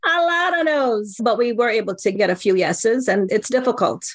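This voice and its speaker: A woman's voice: on the high side, moderate pace. A middle-aged woman, a company co-founder, answering interview questions in an earnest, explanatory way and often checking in with "right?". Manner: earnest, explanatory, repeats key phrases.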